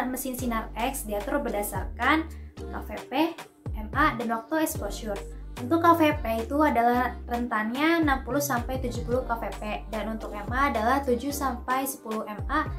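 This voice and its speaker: A young woman narrates a scripted instructional demonstration, explaining each step politely and carefully. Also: on the high side. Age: young adult. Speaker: dental student demonstrating a procedure. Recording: echoey room with music behind.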